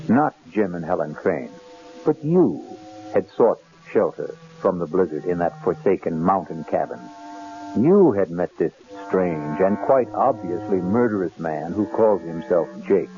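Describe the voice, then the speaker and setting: Deep, slow, ominous male radio host. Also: expressive, music in the background. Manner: theatrical, deliberate, ominous. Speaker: radio drama host and narrator. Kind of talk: suspenseful scripted narration. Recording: dull 1970s radio broadcast.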